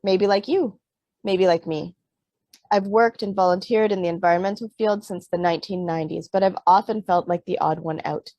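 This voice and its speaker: A woman's voice: on the low side, normal speed, warm tone, dry recording. A middle-aged woman from Canada, an author on a panel who talks with many fillers and restarts, then reads aloud from her book. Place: Vancouver.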